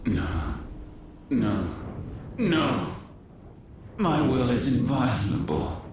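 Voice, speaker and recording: An actor playing an ancient, imperious and wrathful god in a video game, speaking in grandiose, archaic phrases. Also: male voice, mid-range voice, sing-song, very slow, noisy background, heavily acted. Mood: wrathful, disbelieving. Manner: grandiose, archaic, formal delivery. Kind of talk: dramatic video game voice acting. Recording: heavy echo with loud music. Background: prominent music.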